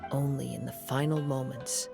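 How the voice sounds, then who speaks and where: A young wandering swordsman from an anime-style game, played by a man, calm and courteous. He speaks formally and gently in a mid-pitched, reflective voice, with music clearly behind him. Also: normal speed, lightly acted.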